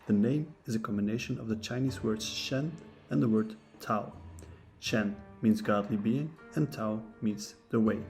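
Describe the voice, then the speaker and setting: A man, not a native English speaker, reading a scripted philosophy lesson in a steady, measured way. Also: lowish voice, vocal fry. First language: neither English nor Japanese. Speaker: narrator of a philosophy channel. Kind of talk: scripted educational narration. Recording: faint guitar music behind.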